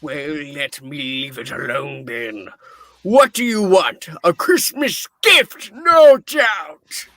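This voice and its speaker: A man's voice: on the high side, expressive, slow, pushed and bright, dry recording, full character voice. A man playing a cranky, miserly old boss in a radio-play reading, blustering and snappish with bitter scorn. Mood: scornful.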